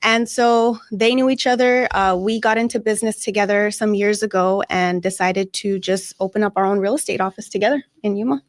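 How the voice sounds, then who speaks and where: A woman's voice: medium pitch, steady tone, brisk, few pauses, dry recording, studio quality. A woman in her thirties, originally from India, chatting as a guest on a radio talk show, laughing often. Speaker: guest, realtor. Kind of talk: casual radio interview.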